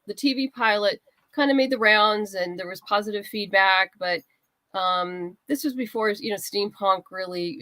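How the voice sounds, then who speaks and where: A woman's voice: mid-range voice, fairly bright tone, no room sound. A woman speaking casually as a guest writer in an interview, explaining her creative process and acting out little asides.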